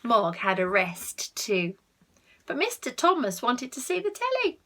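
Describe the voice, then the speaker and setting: A woman reading a picture book aloud to children, expressive, with asides to the listeners and hushed tones for effect. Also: fairly high pitch, a little slow, a little hiss or hum. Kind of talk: animated read-aloud with asides to young listeners.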